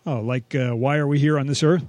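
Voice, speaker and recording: A man's voice: mid-range voice, fairly even intonation, a little fast, a little mellow, no echo, highs cut off. A man co-hosting a radio show, bantering in a teasing, jokey way.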